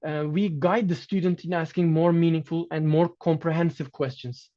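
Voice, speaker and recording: A man whose first language is Turkish, giving an academic lecture in English over slides, measured and pausing, often restarting his phrases. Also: on the high side, fairly even intonation, warm tone, highs cut off. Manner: measured, with frequent restarts and fillers. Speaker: guest lecturer.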